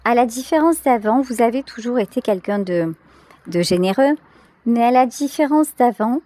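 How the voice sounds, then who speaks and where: A woman giving a tarot reading straight to the listener, reflective and pausing to search for her words. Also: a little high, expressive, mellow. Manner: hesitant, reflective, searching for words with pauses and repetitions. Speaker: tarot reader, channel host. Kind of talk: intuitive tarot reading addressed to the viewer.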